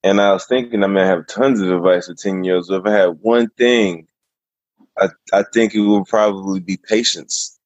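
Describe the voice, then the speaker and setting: A very low-voiced man speaks as a podcast guest, unhurried and easygoing, often pausing to gather his thoughts. The sound is dry and a little muffled. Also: steady tone, no room sound. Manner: easygoing, measured, with frequent pauses. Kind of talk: casual interview answers.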